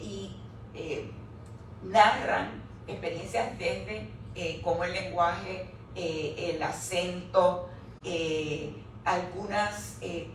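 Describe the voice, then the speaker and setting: Gravelly woman speaking in an echoey room. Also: on the low side. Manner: standing and addressing the audience, explanatory. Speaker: researcher presenting her book chapter. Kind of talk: academic talk at a book presentation. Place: room at a live presentation event. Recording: echoey room, distant mic, background noise.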